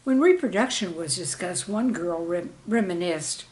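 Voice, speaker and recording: A woman, a teacher, reading her own scripted humorous anecdotes in a deliberate storytelling manner. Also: fairly low pitch, animated, darkish tone.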